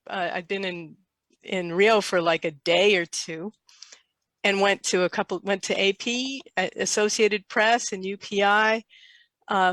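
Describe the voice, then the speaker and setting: Bright-voiced older woman telling stories. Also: mid-range voice, no room sound. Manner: lively anecdotal storyteller, quotes herself. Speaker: interview guest. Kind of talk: casual storytelling.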